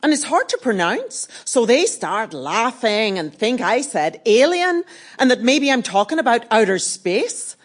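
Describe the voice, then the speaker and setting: Earthy woman activist: higher voice, very lively pitch, measured stage storytelling. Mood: wry recollection. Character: earthy, wry Northern Irish woman activist telling her story. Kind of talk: documentary stage play monologue.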